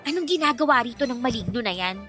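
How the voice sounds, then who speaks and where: A woman's voice: a little high, expressive, quick pace, over music, natural voice. An actress playing a touchy, easily irritated young woman in a radio drama, with a defensive, sulky manner.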